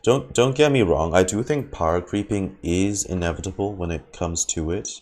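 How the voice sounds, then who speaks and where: A man's voice: deep voice, moderate pace, a little mellow. A man giving casual live commentary as a game streamer, rambling and repeating his words and phrases. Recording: game music behind the voice.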